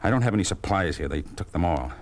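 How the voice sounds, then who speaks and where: An actor playing a solemn, principled doctor in a 1950s radio drama, weary and indignant, with clipped, emphatic delivery. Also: male voice, low-pitched, animated, fast. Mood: grave concern. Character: grave, principled small-town male doctor, weary and indignant. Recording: noisy old 1950s radio recording.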